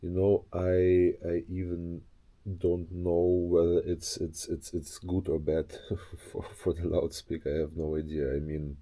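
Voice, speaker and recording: A man's voice: low voice, completely flat delivery, measured pace, warm tone. A man giving a casual, unscripted show-and-tell as a hobbyist presenter, speaking hesitantly and often searching for words.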